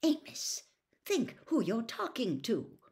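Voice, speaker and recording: A woman's voice: on the high side, very animated, slow, voice pushed up. A woman voicing a reasonable but indignant male wizard in an audiobook, protesting firmly. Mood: angry. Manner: firm, protesting.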